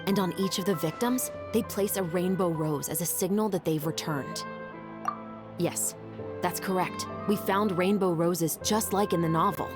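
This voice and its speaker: A woman's voice: lowish voice, moderate pace, music in the background, lightly acted. A woman voicing a serious, dutiful young female officer in an anime-style video game, composed, formal and earnest.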